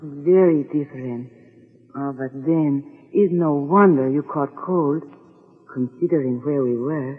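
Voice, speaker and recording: Tinny old radio sound, a breathy young lady, earnest and head over heels, very melodramatic. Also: deep voice, unhurried. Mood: lovestruck, anxious. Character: lovestruck, naive, defiant young woman. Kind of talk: 1940s radio melodrama acting. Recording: muffled, narrow-band old radio recording, reverberant.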